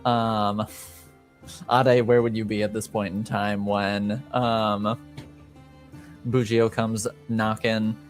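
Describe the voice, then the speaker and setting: Male game master, lowish and unhurried, measured tabletop narration. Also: level tone, faint music behind.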